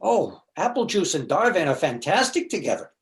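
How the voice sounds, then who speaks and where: Male actor as meek, lovelorn sad sack for stage comedy; high voice, self-deprecating, stammering delivery. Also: big pitch swings, normal speed. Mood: anxious, chatty. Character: neurotic, self-pitying jilted husband, meek and lovelorn. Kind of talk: stage comedy readers theater.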